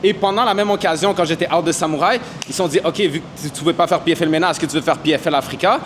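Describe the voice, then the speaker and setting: Confident fighter, slang-heavy. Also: male voice, on the high side, rapid-fire, background noise. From Canada. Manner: confident, mixes English slang into French. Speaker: MMA fighter. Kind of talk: casual interview answers. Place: boxing gym.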